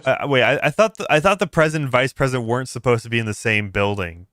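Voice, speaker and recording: A man hosting a casual livestream, reacting aloud in a halting way, repeating words and breaking off mid-sentence. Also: mid-range voice, a bit bright. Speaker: livestream host. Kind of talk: casual reaction stream commentary.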